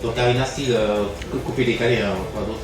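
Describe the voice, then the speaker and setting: A man's voice: medium pitch, steady tone, fast, over music. Distant, very echoey male interviewer. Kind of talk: casual interview. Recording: distant, very echoey, some noise.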